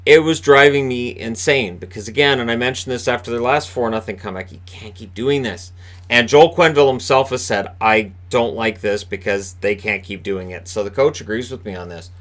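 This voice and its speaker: A man hosting a casual solo hockey recap from a room in his home, with a booming voice, talking in a rambling, chatty way with lots of fillers and restarts. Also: fairly quick, fairly bright tone. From Canada. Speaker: hockey commentator and video host.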